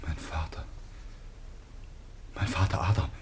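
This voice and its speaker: An actor playing an earnest, devout and troubled grown son in a biblical radio drama, with measured, declamatory delivery. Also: male voice, rumbling low, steady tone, in a whisper. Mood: stunned. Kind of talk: classic German radio drama acting.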